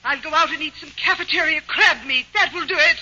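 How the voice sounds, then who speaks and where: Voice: sits high, brisk, sharp tone. An actor playing a melodramatic, lovesick female surrealist poet in a 1940s radio comedy, wailing theatrically. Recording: muffled 1940s radio broadcast with faint hiss.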